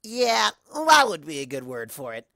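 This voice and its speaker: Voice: medium pitch, wildly swooping pitch, moderate pace, pushed and bright, no room sound, clean studio sound, exaggerated voice. A storyteller voicing a brash, boastful young female cartoon pony, cocky, casual and emphatic. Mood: amused.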